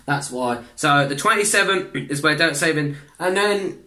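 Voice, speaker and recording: A young man, chatting in a rambling way for a reaction vlog in his bedroom, restarting sentences, clearing his throat and swearing often. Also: fairly high pitch, expressive, normal speed, pushed and bright, a little hiss or hum. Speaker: vlogger reacting to videos. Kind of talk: casual reaction vlog chat. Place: small bedroom indoors.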